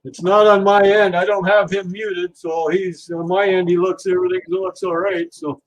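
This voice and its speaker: A man's voice: sits high, little pitch movement, normal speed, low-quality recording. A man hosting a casual livestream, chatting easily with viewers while he works on scrap. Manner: easygoing, chatty, talks to viewers while working. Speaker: livestream host.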